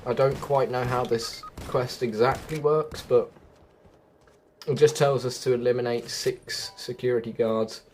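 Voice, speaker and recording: A man's voice: medium pitch, steady tone, measured pace, darkish tone, a little hiss or hum. A man giving a casual, chatty walkthrough of a video game, often thinking aloud as he guides viewers. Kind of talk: casual gameplay walkthrough commentary.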